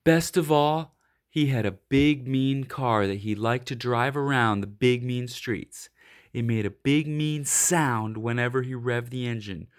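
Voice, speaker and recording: A man reading a children's picture book aloud expressively, leaning on key words with deliberate, dramatic emphasis. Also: mid-range voice, smooth. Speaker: storybook reader.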